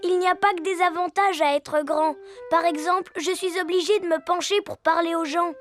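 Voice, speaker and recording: An actor voicing a tall young giraffe child in a French cartoon, gentle, self-conscious and earnest. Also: very high-pitched, steady tone, normal speed. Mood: plaintive.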